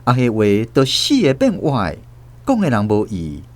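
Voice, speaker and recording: A man's voice: medium pitch, huge pitch swings, moderate pace. Taiwanese man narrating a radio drama. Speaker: host and narrator.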